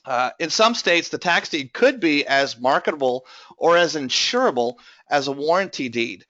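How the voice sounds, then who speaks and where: A man's voice: on the high side, expressive, normal speed, sharp tone, no echo, muffled recording. Man presenting with a strained voice. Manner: explanatory, many filler uh's. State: voice strained from bronchitis. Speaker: tax lien investment presenter. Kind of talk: webinar presentation.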